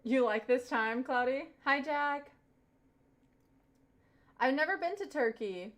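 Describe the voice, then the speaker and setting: Chatty woman streamer, higher voice. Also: slow pace. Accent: light Southern US. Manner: chatty, thanks viewers over and over. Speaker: live streamer. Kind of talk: casual chat reading viewer comments.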